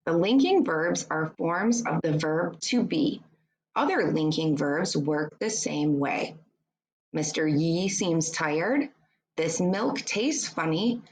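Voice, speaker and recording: Slow-paced woman reading aloud to pupils. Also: on the low side, a little hiss or hum, low-quality recording. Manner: deliberate, instructive, addressing young pupils. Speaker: teacher reading a book aloud. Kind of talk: children's educational read-aloud with short explanations.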